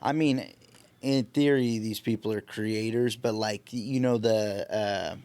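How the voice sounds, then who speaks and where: A young man talks in a casual, slangy way as part of a podcast group. His voice sits at an average pitch and is recorded close and dry. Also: steady tone, a little slow, darkish tone, smooth, no echo. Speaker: podcast panelist.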